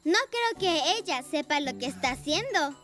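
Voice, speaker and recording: A woman's voice: sits high, lively intonation, normal speed, soft background music. A woman voicing a curious, sensible child motorbike in a children's cartoon dub, with childlike expressiveness. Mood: puzzled, speculating.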